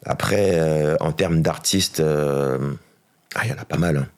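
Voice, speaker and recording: A man's voice: rumbling low, measured pace. A middle-aged man, a musician, answering interview questions in a laid-back way with many fillers. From France. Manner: laid-back, lots of fillers and agreeing "ouais". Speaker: musician guest. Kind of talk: relaxed conversational interview.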